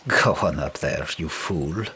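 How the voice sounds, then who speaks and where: A man playing a weary, sardonic Viking with an extremely low voice full of gravel. He speaks slowly and proudly, as in an audiobook saga, with clear background noise around him. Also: sharp tone, heavily acted. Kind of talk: audiobook character dialogue.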